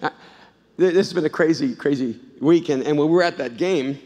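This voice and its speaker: A middle-aged pastor from El Salvador preaching in a large church auditorium, his fairly high voice carrying a long hall reverb. He swings between loud, emphatic bursts and quiet, close asides, and he tells stories with frequent restarts. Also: brisk. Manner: conversational storytelling with repeated restarts. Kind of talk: sermon.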